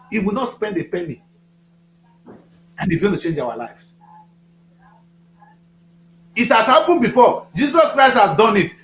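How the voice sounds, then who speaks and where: Man, 50s, Nigerian accent, emphatic, preachy, lively high voice. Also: echoey room, poor audio. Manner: preachy, emphatic, repeats phrases for effect. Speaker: studio guest. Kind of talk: passionate political talk.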